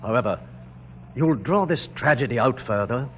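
An actor playing a courtly, haughty enemy general in a radio drama, speaking formally and with urbane condescension. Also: male voice, mid-range voice, expressive, normal speed, natural voice. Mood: dismissive, urbane. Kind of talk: theatrical old radio drama. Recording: dull, hissy old radio broadcast.

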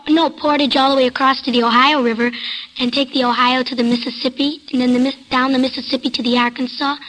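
A young female with a high voice answers as a radio quiz panelist. She thinks aloud, hesitating and correcting herself as she goes. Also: a bit bright, rough recording. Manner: hesitant, self-correcting while reasoning aloud.

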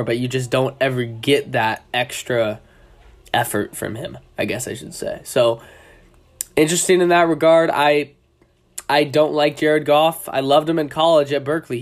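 A young man with a cold and a stuffy, congested voice, hosting a casual sports podcast in a loose, rambling way, often correcting himself mid-sentence. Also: mid-range voice. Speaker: podcast host.

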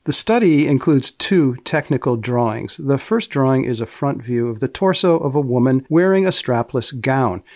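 A man hosting a podcast with scripted narration and short questions, speaking in a deadpan, deliberate, precise way. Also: medium pitch, no echo, studio quality. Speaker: podcast host and magazine editor.

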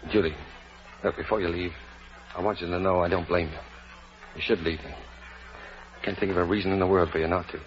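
A man acting an earnest young husband and father in a vintage radio drama, speaking haltingly and with emotion. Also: deep voice, steady tone, natural voice. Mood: defeated. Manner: halting, emotional, repeating words. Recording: muffled lo-fi old radio recording.

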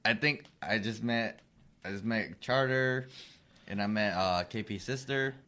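A man recounting a night out in relaxed chat, swearing casually. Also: lowish voice, unhurried. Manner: easygoing, storytelling, swears casually. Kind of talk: casual chat among friends.

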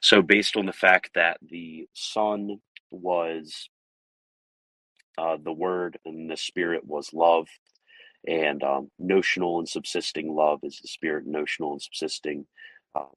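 A man's voice: very deep voice, little pitch movement, unhurried, a bit bright, no room sound, poor audio. A man giving an informal, methodical theology lecture to a live audience from inside his car, working through numbered points. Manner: methodical, lays out numbered points and explains term by term. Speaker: livestream theology presenter.

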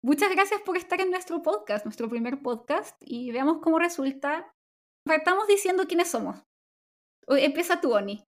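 A woman from Chile with a Chilean Spanish accent co-hosts a laid-back podcast. Her voice sits high, and she talks in an upbeat, chatty way, often restarting her sentences. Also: lively intonation, normal speed. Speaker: podcast co-host. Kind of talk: casual chat.

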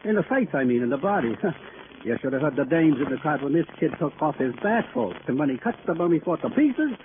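An actor playing a chatty fight promoter in an old radio crime drama, effusive, slangy and wisecracking. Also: male voice, a little high, big pitch swings, fairly quick. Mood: gushing. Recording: tinny, noisy old radio recording.

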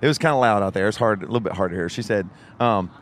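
A man with a loud voice, co-hosting a podcast in casual chat, blunt and animated and swearing freely as he tells a story. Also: lowish voice, rapid-fire, a little hiss or hum. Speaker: podcast co-host.

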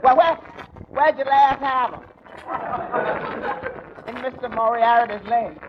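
A man's voice: very high-pitched, slow, over a noisy crowd. A man playing a wry, folksy tavern waiter in a 1940s radio comedy. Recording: muffled, very noisy old radio recording.

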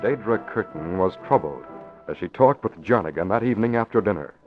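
A man narrating a 1940s radio drama in a measured, formal announcer style. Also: low-pitched, lively intonation. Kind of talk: scripted narration. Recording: dull lo-fi old radio recording with music.

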